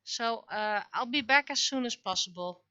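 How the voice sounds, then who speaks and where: High-pitched woman streamer, muffled lo-fi audio. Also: bright, forceful tone, clear, resonant tone, dry recording. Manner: muttering to self, halting, thinking aloud. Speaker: livestreamer, webcomic artist. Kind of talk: casual livestream chat.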